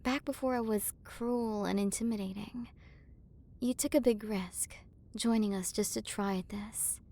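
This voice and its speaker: A woman's voice: medium pitch, fairly even intonation, a little slow, creaky. A woman playing a proud, flustered tsundere warrior queen with a secretly tender side, in an intimate ASMR roleplay. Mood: tender, softened. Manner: haughty but flustered, softening into intimate murmurs. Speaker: voice actor. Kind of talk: ASMR romantic roleplay acting.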